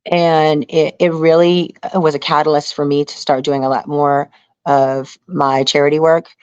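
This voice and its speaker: A woman's voice: low voice, flat delivery, moderate pace, a little mellow, clear, resonant tone, dry recording. A middle-aged American woman gives a casual, earnest interview. She rambles conversationally and leans on "you know" as she goes. The sound is close and dry, a little muffled on top. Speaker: interview guest, outdoor survival show contestant and angler.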